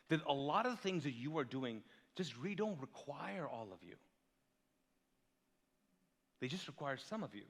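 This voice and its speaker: A male pastor raised in Kuwait whose first language is Urdu, preaching with lively storytelling and rhetorical questions. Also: a little high, long reverb tail. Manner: animated storytelling, big swings in loudness, rhetorical questions. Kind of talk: sermon. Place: large church hall.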